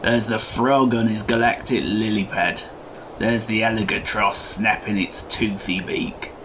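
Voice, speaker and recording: A man's voice: lowish voice, steady tone, a little slow, background noise, natural voice. A man voicing a chatty, folksy goblin tinkerer who guides the listener through a playful fantasy bedtime story. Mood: hushed wonder. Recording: music behind the voice. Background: music.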